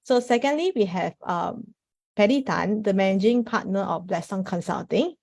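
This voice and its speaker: A woman's voice: medium pitch, moderate pace, gravelly, darkish tone, no room sound, studio quality. A woman moderating a panel, introducing an event to an audience in an informal way, often padding sentences with "you know".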